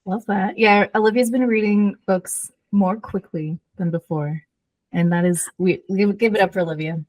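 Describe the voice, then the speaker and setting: A woman co-hosts a podcast in a relaxed conversational style, with an average-pitched voice. She phrases things informally, dropping "like" into her sentences. The sound is dry and free of room echo. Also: moderate pace. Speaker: podcast co-host. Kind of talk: casual chat about books and TV.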